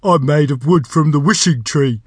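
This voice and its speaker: A man voicing a talking magic door from a storybook, a gruff, self-important gatekeeper with a booming gravelly voice. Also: moderate pace, no echo, studio quality. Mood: chatty, rambling. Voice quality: deep, gravelly.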